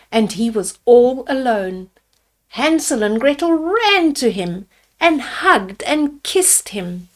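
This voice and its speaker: A woman storyteller reading a fairy tale aloud to children, deliberately, with simple asides to her young listeners. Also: a little high. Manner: deliberate, addresses children with simple questions and comments.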